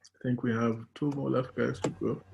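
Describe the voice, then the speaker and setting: A man's voice: mid-range voice, flat delivery, moderate pace, vocal fry, lo-fi sound. A man from Kenya coordinating an online class, joking and chatting casually on a video call. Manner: joking, talks in restarts. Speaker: course coordinator.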